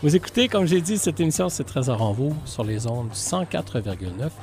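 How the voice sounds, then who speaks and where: Lively, playful Québécois radio host. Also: male voice, on the high side, a little fast, faint music behind. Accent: Québécois French. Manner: chatty, joking, playful questions. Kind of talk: casual radio interview. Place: radio studio.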